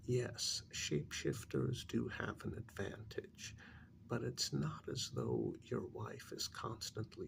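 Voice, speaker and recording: A man playing a patient but exasperated couples therapist in a soft-spoken ASMR role-play, measured and gently reproving, with a gravelly, sometimes breathy voice. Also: medium pitch, a little hiss or hum, exaggerated voice.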